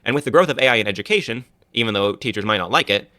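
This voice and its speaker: A man's voice: on the low side, animated, racing, pushed and bright, no echo, clean studio sound. A male student giving a class project presentation, explaining plainly with small restarts.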